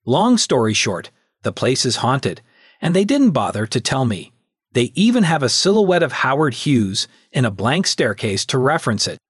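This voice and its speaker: Man, husky voice, medium pitch, dry recording, artist, first-person anecdote. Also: very animated, moderate pace, dry recording. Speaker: artist telling a personal story.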